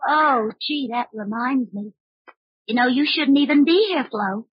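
A woman's voice: sits high, unhurried, slightly rough. An actress playing a naive, starry-eyed young mother in a 1940s radio crime drama, gushing and bewildered in a childlike way. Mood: naive, puzzled. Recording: muffled old-time radio recording.

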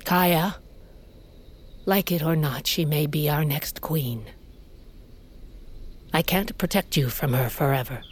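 An actress playing a proud, hardened older queen and mother of a warrior tribe, speaking gravely and with command in an audio drama. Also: low voice, takes it slowly, long pauses, a little hiss or hum. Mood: stern, protective. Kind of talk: audio fiction drama acting.

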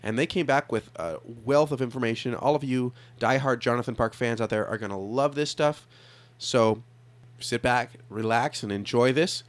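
A man's voice: mid-range voice, normal speed. A man hosting a podcast, introducing the episode in a casual, lightly scripted way. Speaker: podcast host.

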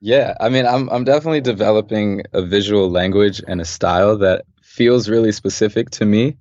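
A young man from Los Angeles, a podcast guest and designer, talking thoughtfully and calmly in a relaxed interview and laughing easily. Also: on the low side, a little fast, no echo. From Los Angeles, USA. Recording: dull, dry podcast audio.

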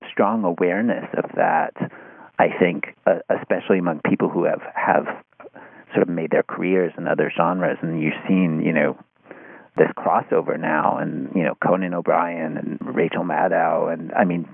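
A man talking through ideas in conversation, halting and searching, often repeating words as he goes. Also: sits low, vocal fry, slightly rough, no room sound. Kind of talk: conversational discussion. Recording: tinny narrow phone-like line.

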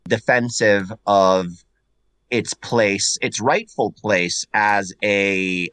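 A man's voice: on the low side, fairly bright tone, no room sound. A man from Puerto Rico whose first language is Spanish, a guest in a casual podcast interview, talking in a rambling way with restarts and repeated words. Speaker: guest artist.

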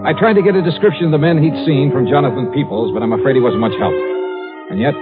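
An actor playing a brisk, impatient, hard-boiled investigator in a 1950s radio drama, with clipped, no-nonsense delivery. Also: male voice, medium pitch, phone-quality sound, over loud music, close to an everyday voice. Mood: terse narrating. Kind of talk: 1950s radio detective acting and narration. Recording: band-limited old radio recording.

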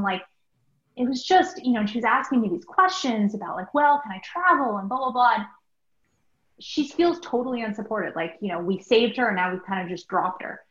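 A middle-aged American woman, a guest doctor answering interview questions in a thoughtful, halting, conversational way. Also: a little high, a bit bright. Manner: thoughtful, halting, peppered with like and you know. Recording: roomy, dull lo-fi remote recording.

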